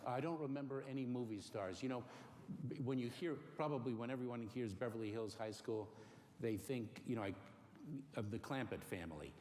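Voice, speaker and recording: A middle-aged man born in Cuba, giving careful, halting interview answers with many pauses and fillers. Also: medium pitch, a little mellow. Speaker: interview guest. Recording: faint noise in a long-reverb room.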